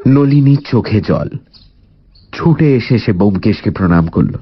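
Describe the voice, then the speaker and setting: A man's voice: on the low side, lively intonation, a little slow, slight background noise. A man narrating a scripted story dramatically, with suspenseful, literary phrasing. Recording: dull audio under clear music.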